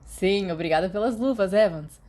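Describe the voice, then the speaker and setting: A woman's voice: medium pitch, normal speed, darkish tone, natural voice. Woman voicing teasing Brazilian teenage schoolboy. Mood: playful. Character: playful, teasing teenage schoolboys bantering with friends.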